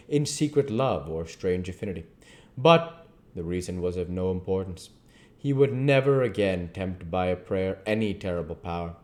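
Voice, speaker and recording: Guy reading an old novel out loud, kinda theatrical and deliberate with it. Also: low voice. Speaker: reader, channel host. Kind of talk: literary audiobook-style reading.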